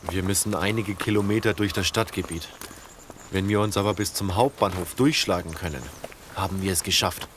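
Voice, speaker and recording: A man playing a calm, strategic leader of survivors who explains things in a measured way in an audio drama. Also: low voice, noisy background, close to an everyday voice. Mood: earnest, calm.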